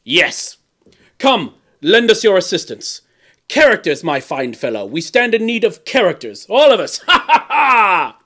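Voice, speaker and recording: A bullying storybook king, roars and mocks in a raised, wildly swooping voice. He booms his orders impatiently and theatrically, with a tyrant's relish. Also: very animated, unhurried, fairly bright tone, heavily acted. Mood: gloating, roaring. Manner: roaring, booming commands and forced laughter. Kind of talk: theatrical storybook character acting.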